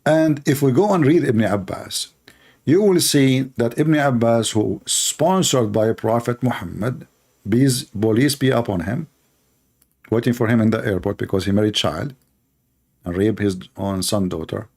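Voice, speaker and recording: A man's voice: mid-range voice, measured pace, vocal fry, a little mellow. A man whose first language is Arabic, giving sarcastic, mocking commentary and laughing often. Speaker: online debater.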